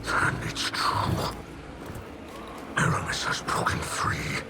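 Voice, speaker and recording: A man's voice: heavy background noise, lightly acted. A man playing a grave, cautious alien leader and father in a video game, solemn and formal. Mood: grave, worried. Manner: solemn, slow, formal delivery. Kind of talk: video game character acting.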